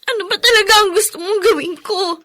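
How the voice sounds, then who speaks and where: A woman's voice: very high voice, a little fast, pushed and bright. A Filipino actress playing a meek, wronged younger sister and mother in a radio drama, tearful, hesitant and pleading. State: tearful, often crying.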